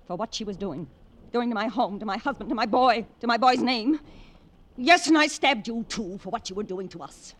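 An actress playing a protective, bitter middle-aged American wife, intense and melodramatic in a 1950s radio drama. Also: female voice, fairly high pitch, lively intonation, moderate pace. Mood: furious, defiant. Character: fierce, protective society wife, bitter and unrepentant. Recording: noisy old radio recording.

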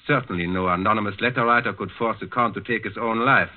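An actor playing a suave, menacing German Nazi district governor in a 1940s radio drama, with a clipped, condescending manner. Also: male voice, lowish voice, fast, narrow, tinny audio, close to an everyday voice. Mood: blunt, officious. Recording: band-limited old 1940s radio recording.

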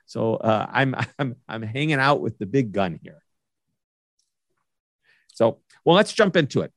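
A man's voice: medium pitch, lively intonation, measured pace, dry recording, clean studio sound. A man hosting an interview show, enthusiastic and upbeat as he gives announcements and introduces his guest. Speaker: podcast host.

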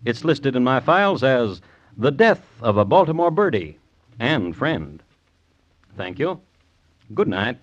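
An actor playing a wry, sardonic host and narrator of crime stories, deliberate and deadpan in wording, in 1950s radio style. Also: male voice, mid-range voice, no echo. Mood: wry, droll. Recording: dull vintage radio recording.

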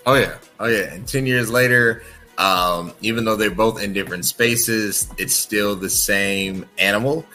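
A man's voice: fairly low pitch, measured pace, pushed and bright, soft background music, rough recording. A man hosting a casual sports talk podcast, chatty, often checking in with "right?". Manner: chatty, frequent "right?" tags. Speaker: podcast host.

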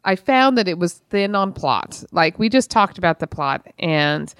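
A woman's voice: fairly low pitch, animated, moderate pace, a bit bright, clear, resonant tone. An American woman co-hosting a podcast, giving her opinions on books in informal, mildly sweary chat. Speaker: podcast co-host. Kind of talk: casual book club chat.

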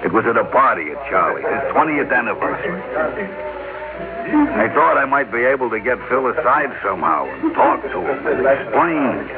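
A man's voice: medium pitch, very animated, normal speed, gravelly. An actor playing a world-weary, defensive businessman brother in a vintage radio drama, with a wry, evasive manner. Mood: weary, reflective. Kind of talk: vintage radio drama acting with first-person narration. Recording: phone-like vintage radio, loud music behind.

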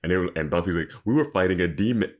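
A man with a deep, low voice chats as a podcast co-host. His commentary on a show is informal and loose, and he is given to chuckling as he talks. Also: animated, very fast. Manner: informal, laughs often as he talks. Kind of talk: casual chat, TV episode commentary.

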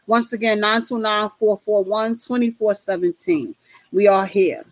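A woman's voice: mid-range voice, moderate pace, no room sound. A woman in her late thirties co-hosting a call-in talk show, chatting casually with many fillers and easy laughs. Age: 30s. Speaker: radio show co-host.

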